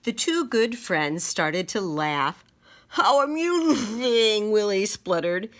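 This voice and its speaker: Woman reading a kids story out loud, dramatic n slow, voice goes up and down a lot. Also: fairly low pitch, lively intonation. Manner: dramatic, deliberate storytelling with sound effects. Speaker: storyteller reading a children's book. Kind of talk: expressive children's picture-book read-aloud.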